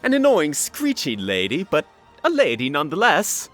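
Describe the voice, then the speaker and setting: Manic, teasing adventurer stallion, acted for a fan audio story: cocky, hugely varied pitch, light music underneath. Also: wildly swooping pitch, normal speed, a bit bright. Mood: exasperated, teasing. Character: cocky, flippant, manic male alien-hunting adventurer stallion.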